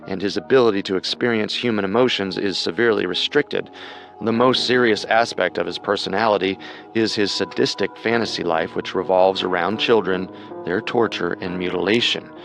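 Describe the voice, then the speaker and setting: A man co-hosting a scripted true-crime programme, narrating in a measured, dramatic way with music behind him. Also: lowish voice, vocal fry, fairly bright tone. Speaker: co-host narrator.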